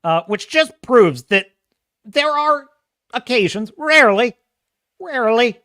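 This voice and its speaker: A man's voice: very high voice, lively intonation, slow pace, sharp tone, clean tone, no room sound, studio quality. A man hosting a casual livestream, chatting and reading viewer comments, with a self-described whiny, nerdy voice, rambling and laughing often. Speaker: host.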